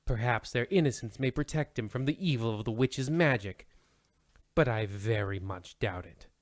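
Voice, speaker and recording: A man's voice: medium pitch, normal speed, dry recording, close to an everyday voice. A man acts as an ominous narrator of an old radio mystery. His mid-range voice is theatrical and full of foreboding. The sound is dry, with no room around it.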